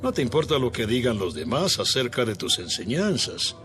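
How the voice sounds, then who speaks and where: Brief: a man as a smooth, flattering schemer, pitch swinging up and down, music behind. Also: normal speed, natural voice. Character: flattering questioner laying a trap. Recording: voice over music.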